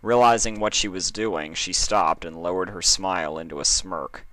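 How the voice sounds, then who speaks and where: A man reads fiction aloud in expressive storybook style. His voice is low, bright and very clear, with a close, dry sound. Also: normal speed, no echo, low-quality recording. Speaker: fan fiction reader.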